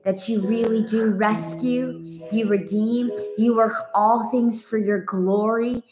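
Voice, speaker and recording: A woman's voice: a little high, fairly even intonation, slow, music in the background, low-quality recording. A woman praying aloud in an earnest, pleading way, off the cuff, with repeated phrasing. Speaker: co-leader praying. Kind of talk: extemporaneous prayer.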